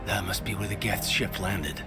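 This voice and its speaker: An actor playing an earnest young human soldier in a sci-fi game, speaking expressively. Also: male voice, low voice, level tone, normal speed, loud music behind the voice, lightly acted. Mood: awed. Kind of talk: cinematic sci-fi video game acting.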